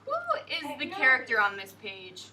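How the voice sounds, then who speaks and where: A young woman teacher reading a picture book aloud to a class in an expressive, sing-song way and asking questions. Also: fairly high pitch, moderate pace, far from the mic, noisy background.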